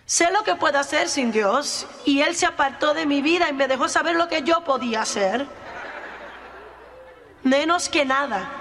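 Female preacher, high-voiced, emphatic and self-deprecating, delivering a sermon in a reverberant hall. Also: a bit bright.

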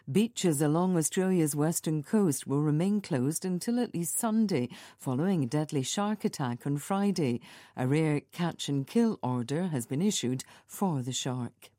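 A woman reads a radio news bulletin in a low, mellow voice. Her delivery is measured and formal, recorded very dry in a studio. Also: dry recording. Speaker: newsreader.

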